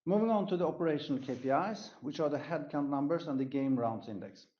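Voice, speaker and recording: A man's voice: fairly high pitch, lively intonation, quick pace, a little mellow, dull audio. A man presenting company results as an executive on an earnings call, reading prepared remarks in a formal way. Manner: formal, scripted delivery. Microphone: conference call line.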